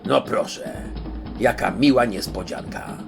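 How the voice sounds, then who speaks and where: Man acting a booming, gloating, cruel jungle king. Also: unhurried, vocal fry, music in the background. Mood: gloating triumph. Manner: mocking, booming, savoring his triumph.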